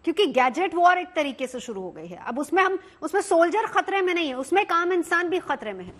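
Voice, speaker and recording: Female TV news anchor, thirties, high-pitched, studio panel questioning. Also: very animated, a little fast, fairly bright tone.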